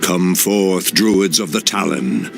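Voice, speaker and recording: Casting: oeroude druïdeleider, ernstig en plechtig, heel diep en rasperig. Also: male voice, slow pace, full character voice. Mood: grave. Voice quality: deep, gravelly, solemn. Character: ancient male elf druid leader, grave. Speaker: game cutscene character. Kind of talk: game cutscene acting. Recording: voice over loud music.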